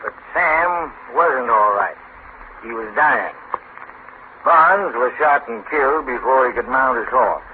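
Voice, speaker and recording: A man's voice: medium pitch, lively intonation, takes it slowly, phone-quality sound. A man narrating a western drama in a scripted, dramatic old-time radio style. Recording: faintly noisy, band-limited vintage radio broadcast.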